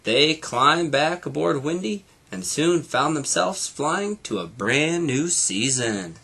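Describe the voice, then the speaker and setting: A man reading a children's storybook aloud in an animated way, like a teacher to young students. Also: fairly high pitch, slow pace, vocal fry, a little hiss or hum.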